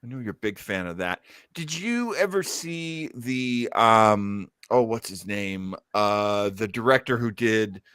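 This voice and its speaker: A man hosting a casual podcast chat, enthusiastic and quick to prod others with questions. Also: lowish voice, lively intonation, a bit bright, clear, resonant tone, no room sound, studio quality. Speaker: podcast host. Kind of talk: casual chat about old radio and films.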